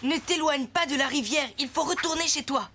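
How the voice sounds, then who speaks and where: A woman's voice: high voice, fairly quick, bright, forceful tone. A woman voicing a kind, sensible young boy in a children's cartoon, speaking in an earnest, concerned manner. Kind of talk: animated children's cartoon acting. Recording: music behind the voice.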